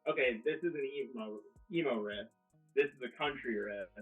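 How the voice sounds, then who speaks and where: A man's voice: medium pitch, normal speed. Brief: male band member, hesitant, phone-like lo-fi audio, faint music. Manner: hesitant, lots of restarts. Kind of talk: casual interview chat.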